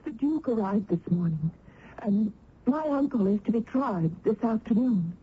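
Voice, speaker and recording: A woman's voice: a little high, animated, normal speed, husky. An actress playing an earnest, emotional young village woman in a heartfelt, dramatic old-time radio style. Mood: hesitant, pleading. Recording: dull vintage radio recording.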